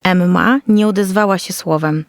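Lowish-voiced woman narrating a fairy tale. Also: moderate pace, dry recording, studio quality. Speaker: storyteller. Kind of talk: scripted children's story narration.

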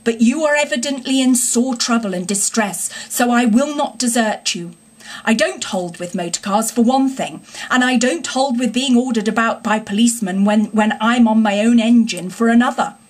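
Woman doing a train driver bloke from a storybook, stern but kind, husky, earnest, plain talking. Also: a little high, moderate pace, natural voice. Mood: grave, stern.

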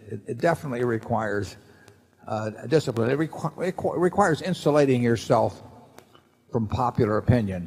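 A man's voice: medium pitch, darkish tone, slightly rough. An older man seated at a table on a large stage, answering shareholders' questions off the cuff in a folksy, rambling way with many restarts. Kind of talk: unscripted answer at a shareholder meeting. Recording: long hall reverb from the PA.

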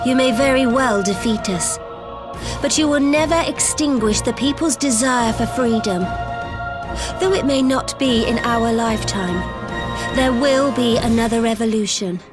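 Female actor as young video game sultana: higher pitched, formal, earnest, regal. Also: lightly acted. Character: small, dignified young sultana, earnest and resolute.

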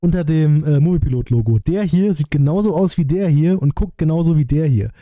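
A man's voice: on the high side, a little fast, mellow, no room sound. A man chatting casually to his viewers in live-stream commentary, close on a desk microphone. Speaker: streamer reacting to a film review. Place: small room at a streaming setup. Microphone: close desk microphone, headset worn.

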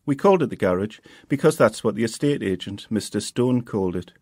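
A man, the author reading his own novel in a quiet, steady first-person voice. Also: on the low side, fairly quick, breathy edge, no room sound, clean studio sound. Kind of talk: audiobook reading.

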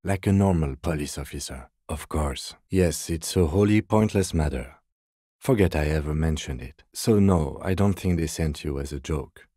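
An actor playing a composed, by-the-book middle-aged police detective in a video game, speaking in a measured, formal, deadpan way. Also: male voice, rumbling low, no echo, clean studio sound. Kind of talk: scripted game dialogue.

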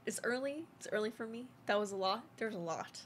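A young adult woman, a game streamer, giving a gushing, excitable live reaction in casual chat. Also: a little high, brisk, a little hiss or hum. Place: small indoor streaming room. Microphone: desk boom microphone, headphones on. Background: game trailer music.

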